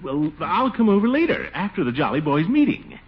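An actor playing a pompous middle-aged bachelor who sputters and blusters when flustered, in 1940s radio acting. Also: male voice, fairly high pitch, big pitch swings, a little fast, slightly rough, exaggerated voice. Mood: pleading. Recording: dull old radio broadcast, faint hiss.

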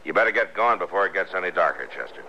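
A man's voice: sits low, lively intonation, fast. A man acting in an old-time radio western as a commanding, hard-edged frontier lawman. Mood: stern. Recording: dull old radio recording, faint noise.

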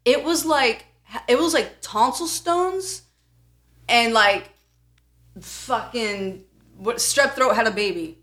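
A young adult woman with an American accent, co-hosting a podcast in casual, animated storytelling with blunt, jokey, profanity-laden talk. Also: a little high, slow pace, long pauses. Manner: foul-mouthed, blunt, jokey. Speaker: podcast co-host.

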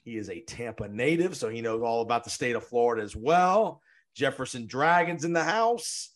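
A man hosting a sports podcast, interviewing guests in an energetic, quick manner, often repeating words. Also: mid-range voice.

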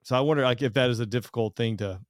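A man's voice: mid-range voice, very fast, no echo, studio quality. A man hosting a casual podcast chat, rambling through questions with many fillers and restarts. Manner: rambling, lots of fillers and self-corrections. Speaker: podcast host.

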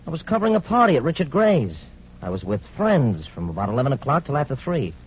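A man's voice: medium pitch, sing-song, quick pace, lightly acted. A man playing a fussy, defensive gossip columnist who protests nervously, in a 1940s radio drama. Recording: muffled, noisy old radio recording.

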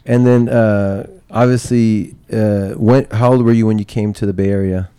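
A man's voice: on the low side, fairly even intonation, normal speed, mellow, clear, resonant tone. Chatty male podcast host. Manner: laughs often, friendly filler-heavy questions. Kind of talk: casual interview chat.

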